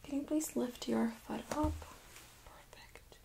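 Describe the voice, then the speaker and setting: A young woman speaking in a soft, breathy near-whisper, gently playing a medical examiner in an ASMR role-play. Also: fairly high pitch, rough recording. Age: young adult. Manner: gentle, unhurried, reassuring. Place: small carpeted exam room, indoors. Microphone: USB microphone on a stand nearby.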